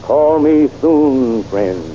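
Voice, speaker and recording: A bearded warrior prince from an old radio serial who speaks in grand old-fashioned thee-and-thou phrasing. His voice is very dark and mellow, and he takes his time over every word. The recording is dull and muffled, like an old broadcast. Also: medium pitch, expressive, a little hiss or hum. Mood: grave. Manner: archaic thee-and-thou speech, slow and grave. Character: bearded, noble male prince of a warrior race. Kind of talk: 1930s radio serial acting.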